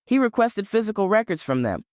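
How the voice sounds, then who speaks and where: A woman's voice: mid-range voice, sing-song, a little fast, a little mellow, no echo, clean studio sound, natural voice. A woman playing an eager, earnest young amateur sleuth and superfan in an audio drama.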